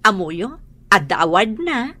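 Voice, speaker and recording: A woman playing a caring, earnest mother in a radio drama, speaking gently with an Ilocano accent. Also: mid-range voice, very animated, unhurried, no room sound, exaggerated voice. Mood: pleading, grateful.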